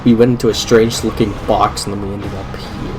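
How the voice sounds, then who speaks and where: A man voicing a gentle, puzzled giant sled dog in a story, laconic and soothing. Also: on the low side, little pitch movement, brisk, music in the background, close to an everyday voice. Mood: unsure.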